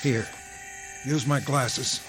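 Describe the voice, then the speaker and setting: An actor playing a resolute army captain in a radio drama, strained and commanding, speaking in clipped military phrases. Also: male voice, mid-range voice, fairly even intonation, very slow, noisy background. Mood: terse, guarded. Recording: loud music and effects behind the voice.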